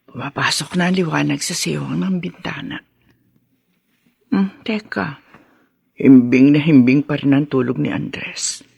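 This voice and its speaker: A woman playing a devoted middle-aged wife and mother in an emotional audio drama, anxious and expressive. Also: low voice, creaky, breathy edge, dry recording, studio quality, exaggerated voice. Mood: drowsy, uneasy.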